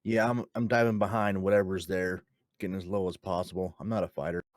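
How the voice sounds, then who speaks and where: A man with a very deep voice plays a tabletop roleplaying game. He casually describes his character's moves, recorded dry and without room sound. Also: little pitch movement, quick pace, no room sound.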